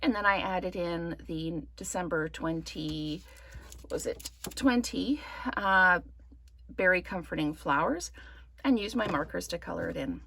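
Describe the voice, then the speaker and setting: Slightly croaky woman demonstrating crafts. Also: on the low side, measured pace. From Canada. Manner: relaxed, rambling with many ums. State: slightly croaky from wildfire smoke. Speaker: card-making demonstrator. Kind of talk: casual craft tutorial. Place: indoors at a craft table.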